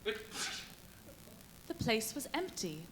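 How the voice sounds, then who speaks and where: Une comédienne en narratrice posée, voix assez aiguë. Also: female voice, on the high side, big pitch swings, fairly bright tone, full character voice. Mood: composed. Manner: measured, formal storytelling. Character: composed female narrator of an eerie village tale. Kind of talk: scripted dramatic narration. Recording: faintly noisy live stage recording.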